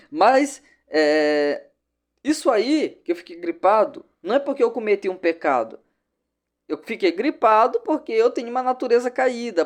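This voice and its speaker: A man's voice: high voice, a little mellow. A man teaching a Sunday school Bible lesson, stressing his points by repeating key phrases and speaking straight to the listener.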